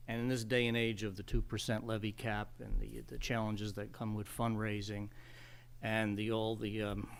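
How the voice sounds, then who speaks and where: A man giving unscripted committee remarks at a public meeting, halting, with repeated words and many ums. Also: lowish voice, flat delivery, gravelly, clean tone. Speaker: township committee member.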